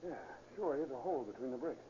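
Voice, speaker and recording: A man's voice: medium pitch, animated, fast, mellow, lightly acted. An American actor playing an alert, inquisitive policeman, a detective's eager partner, in a 1930s radio drama. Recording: muffled, very noisy 1930s radio recording.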